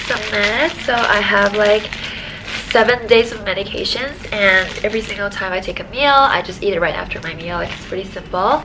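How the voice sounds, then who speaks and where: A young woman talks to her viewers in a loose, chatty vlog manner, her voice stuffed-up and nasal with a dry, slightly raspy edge. Her tone is bright and forward. A faint hiss of background noise sits under the recording. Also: mid-range voice, poor audio. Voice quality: nasal, congested. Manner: chatty, frequent "like" fillers. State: dry raspy throat after surgery. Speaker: vlogger. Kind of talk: casual vlog talk to camera.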